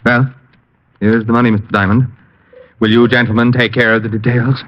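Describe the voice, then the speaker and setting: An actor playing a fussy, formal gentleman, flustered and anxious, in an old radio drama. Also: medium pitch, little pitch movement, fairly quick, slight background noise, natural voice. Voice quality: clicking false teeth. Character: fussy, anxious, formal male blackmail victim. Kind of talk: 1950s radio drama acting. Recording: dull old radio recording.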